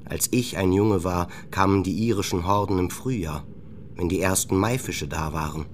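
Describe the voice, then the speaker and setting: A grumbling old boatman as a male narrator puts him on, low-voiced and wary. He belongs to a literary radio play, with clear boat and water noise behind him. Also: normal speed, close to an everyday voice. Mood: puzzled grumbling. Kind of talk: acted quotes within literary narration.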